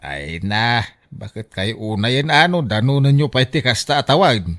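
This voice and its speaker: A man's voice: mid-range voice, animated, normal speed, fairly bright tone, lightly acted. A man playing a pushy, argumentative youngest son in a radio drama, with an Ilocano accent. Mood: insistent. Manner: argumentative, insistent. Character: pushy youngest son squabbling with his sister over inheritance.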